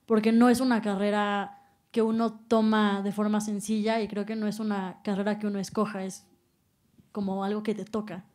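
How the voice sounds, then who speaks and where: Young woman student, earnest and careful, speaks in a flat, level tone. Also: mid-range voice, normal speed. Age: young adult. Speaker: university student asking a question. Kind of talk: audience question.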